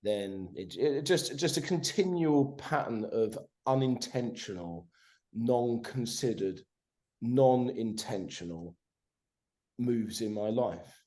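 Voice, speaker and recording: Sweary animated man in his forties. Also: medium pitch, slow. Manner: sweary, animated, keeps tagging "right?". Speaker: podcast guest. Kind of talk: casual conversation.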